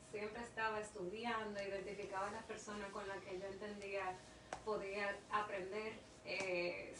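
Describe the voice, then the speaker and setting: Mujer dominicana, voz lejana en sala con eco. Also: female voice, mid-range voice. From Dominican Republic. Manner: earnest, emphatic, repeats herself for stress. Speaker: interview guest, industrial engineer. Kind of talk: casual interview conversation. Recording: echoey room, distant mic, noisy.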